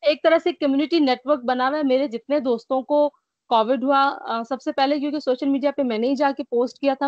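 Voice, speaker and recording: Tired woman, slightly breathless with a light cough, earnest guest; higher voice, dry lo-fi video call sound. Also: no echo. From Pakistan. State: mild cough. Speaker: guest recovering from covid. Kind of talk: remote interview. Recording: dry, dull lo-fi call audio.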